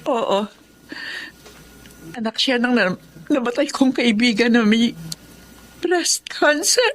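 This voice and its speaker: High, tearful middle-aged Filipina boss. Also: female voice, expressive, slow pace, slight background noise, rough recording. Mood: grieving. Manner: tearful, breathy, melodramatic. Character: grieving older sister and kindly female boss, tearful. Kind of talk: Filipino radio drama acting.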